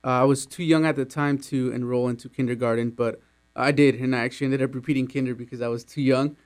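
A man's voice: mid-range voice, fairly even intonation, fast talker. A young man from Mexico answering interview questions about his childhood, talking casually with many fillers and small laughs. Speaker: employee interviewed about his immigrant journey.

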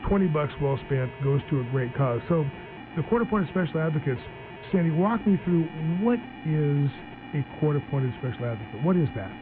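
A chatty, upbeat man hosts a casual radio talk segment, stumbling and restarting as he goes. His voice comes through a narrow, phone-like band. Music plays clearly underneath. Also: fairly high pitch, expressive, a little fast. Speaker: radio talk show host. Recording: tinny phone-like broadcast with music.

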